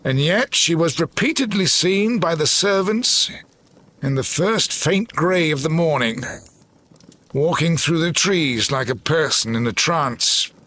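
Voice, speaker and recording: An actor playing a grim, vengeful old general in a gothic audio drama, speaking formally and commandingly. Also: male voice, on the high side, animated, measured pace, pushed and bright, exaggerated voice. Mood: grave, haunted.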